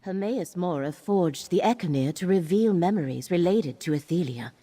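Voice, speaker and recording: An actress playing a grave, scholarly guide in a fantasy video game, speaking in a measured, formal, solemn manner. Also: female voice, fairly low pitch, expressive, dark tone, slightly rough. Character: grave, scholarly woman guide to ancient ruins.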